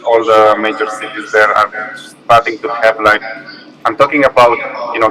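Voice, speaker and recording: Man from Romania, low and flat, loose rambling delivery, remote call audio with crowd noise. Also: poor audio. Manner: loose, rambling, many fillers. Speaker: expert panelist. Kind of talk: remote conference talk.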